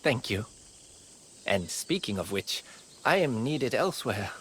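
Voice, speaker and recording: A man's voice: medium pitch, very animated, a little slow, a bit bright, slight background noise. An actor playing an earnest young man who protects his villagers, in a dramatic video game.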